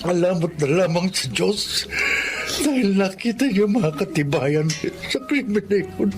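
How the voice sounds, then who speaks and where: A man in a radio drama who speaks in a measured, formal way and also reads the credits. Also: high-pitched, expressive, music in the background. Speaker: radio drama actor and announcer. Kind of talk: radio drama acting and announcing.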